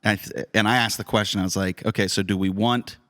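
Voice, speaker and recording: A man's voice: fairly low pitch, fairly even intonation, fast talker, sharp tone. A man chatting informally as a podcast host and director. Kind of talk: casual chat.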